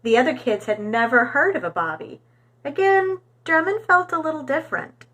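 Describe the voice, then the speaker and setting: A woman reading a storybook aloud for young children in an expressive, animated storytelling manner. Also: high voice, normal speed.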